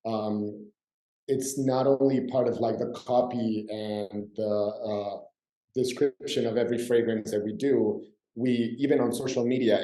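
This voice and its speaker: A man from Mexico City, speaking as a perfumer on a panel, reflective and pausing often with fillers. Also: mid-range voice, mellow, echoey room, low-quality recording. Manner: reflective, with frequent fillers and restarts. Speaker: perfumer and brand founder. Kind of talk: panel discussion answer.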